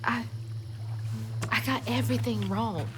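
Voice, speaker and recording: An actress playing an earnest, determined young weaver in a video game. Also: female voice, on the high side, a little slow, lightly acted. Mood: grieving. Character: determined, earnest young female weaver searching for her mother. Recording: game music behind the voice.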